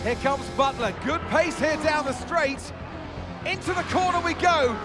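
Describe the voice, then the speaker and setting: An actor playing an excited motor racing commentator calling a race live in a video game. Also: male voice, very high voice, normal speed, loud music behind the voice.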